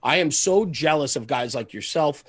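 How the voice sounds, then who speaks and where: A man with a slightly higher-than-average voice talks casually as an interview guest. He is earnest and emphatic, often repeating phrases for stress. The sound is fairly dry and close. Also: animated, normal speed, breathy edge, dry recording. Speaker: podcast guest, fire service media host. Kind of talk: casual podcast interview, passionate monologue.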